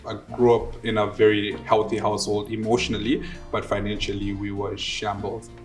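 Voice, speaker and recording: Male, twenties, Southern African accent, animated casual storyteller in an interview, faint guitar music behind. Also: fairly low pitch, a little fast. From Zimbabwe and South Africa. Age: 20s. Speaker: interviewee telling his relationship story.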